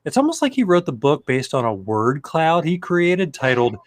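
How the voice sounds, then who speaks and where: High-voiced, animated man bantering. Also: normal speed. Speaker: comedy show co-host. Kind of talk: comedic banter and dramatic reading of reviews.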